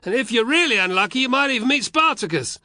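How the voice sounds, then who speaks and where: A crude legionary leers and jokes at the gate, a male reader playing him. The voice is pitched very high and loud, jeering and coarse, recorded close and dry. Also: animated, fast talker, no echo, exaggerated voice. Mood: leering. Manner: leering, crude. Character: leering crude male Roman legionary, joker.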